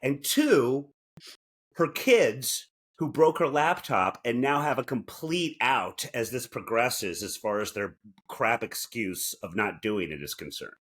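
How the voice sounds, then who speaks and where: A man's voice: mid-range voice, expressive, slow, fairly bright tone. A man co-hosting a podcast, chatting casually with a wry, joking manner. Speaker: podcast co-host. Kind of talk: casual comedic chat.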